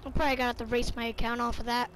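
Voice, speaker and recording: An excitable, slangy player chatting casually in character during a crime roleplay game. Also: mid-range voice, rapid-fire. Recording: clearly noisy game audio with vehicle sounds. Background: game vehicle and street noise.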